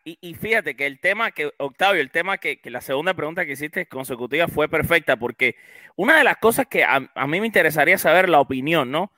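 A Cuban-accented man with a high, bright, forceful voice, hosting a podcast conversation. He builds long, pressing questions with lively emphasis. The sound is close and dry. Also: fast talker, no room sound. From Cuba. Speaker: podcast host. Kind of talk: interview questions, casual podcast.

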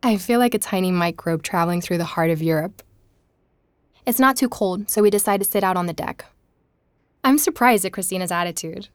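An actress playing a curious, skeptical woman investigator in an audio drama, speaking in a measured, cautious way. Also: on the low side, dry recording, lightly acted. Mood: reflective narration. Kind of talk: audio drama narration and naturalistic dialogue.

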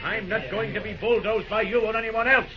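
An actor playing a stubborn, haughty railroad boss in an old radio drama, speaking in clipped, indignant phrases. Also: male voice, high-pitched, steady tone, a little fast, natural voice. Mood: scornful. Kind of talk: old-time radio western drama acting. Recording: muffled old radio recording, crowd behind.

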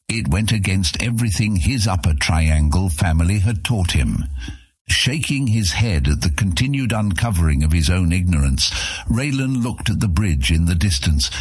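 A man's voice: low voice, breathy edge, dry recording. A man narrating an epic fantasy story as a scripted audiobook, with dramatic storytelling delivery.

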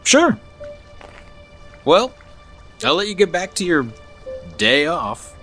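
Affable traveler, swooping intonation. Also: male voice, high-pitched, sing-song, slow, creaky, a bit bright, faint music behind, heavily acted. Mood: casual, teasing. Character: affable, knowing male RV traveler, subtly probing. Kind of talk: naturalistic audio drama acting.

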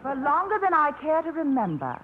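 A woman's voice: high voice, wildly swooping pitch, brisk, slight background noise. A flustered woman angler. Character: flustered middle-aged woman angler, prim and nervous. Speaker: lead actress. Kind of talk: 1950s radio drama acting. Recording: dull old radio broadcast.

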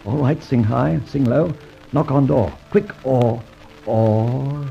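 An actor playing a suave, flippant gentleman adventurer, bantering and clipped, in an old radio drama. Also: medium pitch, slow, gravelly, slight background noise. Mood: commanding. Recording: muffled old radio transcription.

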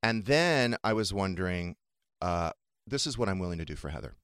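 A man's voice: low-pitched, animated, a little fast, fairly bright tone, clear, resonant tone, no room sound. A man hosting a talk show with casual studio banter, telling gossipy stories in a sardonic manner. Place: dry radio studio.

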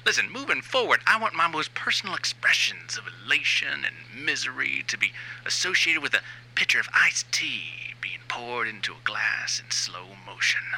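Man acting a sharp, brash, gravelly rock frontman. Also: medium pitch, slight background noise, exaggerated voice, low-quality recording. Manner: sardonic, emphatic, profane, ranting. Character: brash, cynical, money-hungry aging new wave rock frontman. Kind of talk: satirical dramatic monologue.

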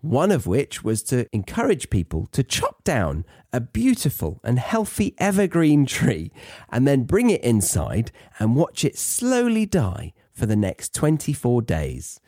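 A man's voice: lowish voice, a little mellow, no echo, clean studio sound. A British man hosting a podcast for English learners, chatting casually and rambling into playful, self-deprecating asides. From Britain.

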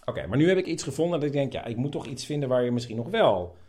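A man's voice: mid-range voice, sing-song, rapid-fire, a little mellow. A man, talking casually and telling a personal story as host and narrator. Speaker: host and narrator, interviewing his father.

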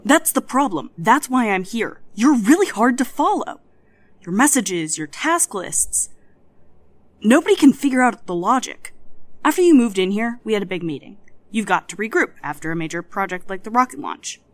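An actress playing a wary, frustrated hacker collective coordinator in an audio drama, plain-spoken, hesitant and exasperated. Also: female voice, medium pitch, animated, moderate pace, no echo, natural voice.

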